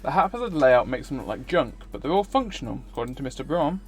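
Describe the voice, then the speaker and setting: A man's voice: mid-range voice, sing-song, fast talker, faint music behind. A man streaming a visual novel, reading its narration aloud. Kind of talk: scripted narration read aloud.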